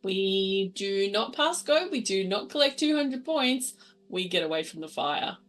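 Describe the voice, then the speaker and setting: A woman's voice: mid-range voice, animated, measured pace, fairly bright tone. A woman chatting casually as a tabletop game player, describing her character's actions informally.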